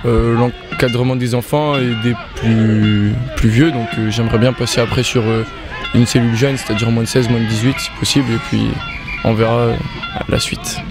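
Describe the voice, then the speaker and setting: Young man, 19, from Belgium, medium-pitched voice, casual interview answers, halting phrases. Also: big pitch swings, over music. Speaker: rugby player and youth coach.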